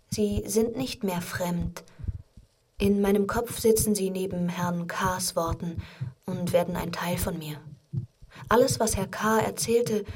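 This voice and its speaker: Slow, soft-spoken woman. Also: medium pitch, a little hiss or hum. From Iran. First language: Persian. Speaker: author reading her own text. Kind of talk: poetic literary radio feature reading. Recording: studio radio feature over marimba and xylophone music.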